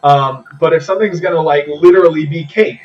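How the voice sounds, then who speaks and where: A man's voice: fairly high pitch, fairly quick, far from the mic, lo-fi sound. A man co-hosting a casual podcast chat, laughing easily and musing aloud in food comparisons. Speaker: podcast co-host.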